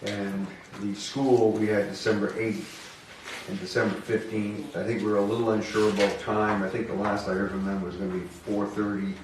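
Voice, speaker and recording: A man's voice: lowish voice, little pitch movement, moderate pace. A man leading an informal public meeting in a matter-of-fact, hedging way, talking things through with others. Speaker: town budget committee member leading a meeting. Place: indoor meeting room, public town meeting. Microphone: distant room microphone. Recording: very echoey room, distant microphone, noisy.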